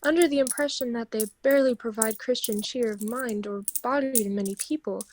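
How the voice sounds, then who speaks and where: A woman's voice: on the high side, fairly quick, darkish tone, slight background noise, close to an everyday voice. A woman playing a polite, earnest charity collector in an amateur radio play, formal and courteous, recorded over a home video call.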